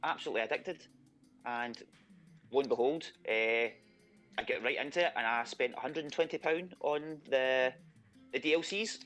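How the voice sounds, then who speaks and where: A man's voice: medium pitch, moderate pace, fairly bright tone, soft background music. A man from Scotland with a thick Scottish accent, chatting casually as a contestant being interviewed, with many fillers and a self-deprecating tone.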